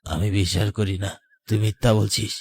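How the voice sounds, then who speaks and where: A man playing the vengeful ghost of a murdered young lover in an animated horror story, accusing and deliberate. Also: on the low side, breathy edge.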